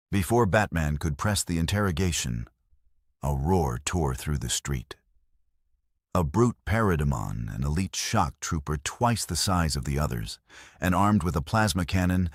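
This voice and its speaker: A man's voice: rumbling low, breathy edge, no echo, clean studio sound. A man narrating an action audio novel in a dramatic storytelling style.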